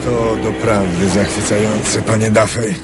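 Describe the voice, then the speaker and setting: A man's voice: on the low side, a little slow, heavy background noise, natural voice. An actor playing an affable young businessman in a radio drama, boasting and chuckling as he tells stories. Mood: breezy, pleased. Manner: chuckling, storytelling, animated. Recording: radio play with murmuring crowd behind.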